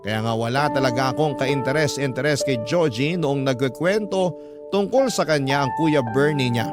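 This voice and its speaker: Higher-pitched man narrating over music. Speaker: radio storyteller. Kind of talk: first-person narration of a listener's love story.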